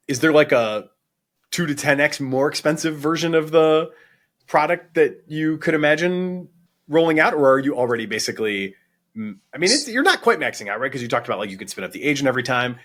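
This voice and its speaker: A man's voice: on the high side, lively intonation, a little fast, fairly bright tone. A man interviewing as a podcast host, informal and thinking aloud as he forms his questions. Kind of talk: conversational interview.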